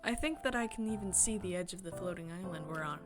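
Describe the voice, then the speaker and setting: A woman's voice: on the low side, quick pace. Woman reading a game aloud over music. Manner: expressive reading, breaking into excited asides. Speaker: game streamer. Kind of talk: reading a visual novel aloud with chatty commentary. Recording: music behind the voice.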